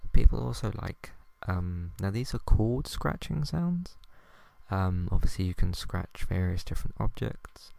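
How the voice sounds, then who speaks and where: A young man hosting a podcast, talking softly and hesitantly with many ums as he tries out ASMR for the first time. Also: sits low, animated, unhurried, vocal fry. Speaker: podcast host. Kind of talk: first attempt at ASMR, quiet podcast chat.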